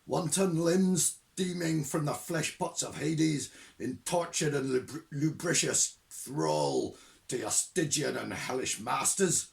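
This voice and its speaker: A man voicing a gruff, irascible old male witch hunter who rumbles and bellows in a testy, theatrical way. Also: darkish tone. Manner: testy, theatrical, drifting regional accent.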